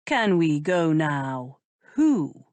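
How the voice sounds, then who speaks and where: Sly aristocratic gentleman, slow amused drawl, period storybook, read by a woman. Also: sits low, sing-song, no room sound, heavily acted. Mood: teasing. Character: teasing aristocratic male earl, languid and sly.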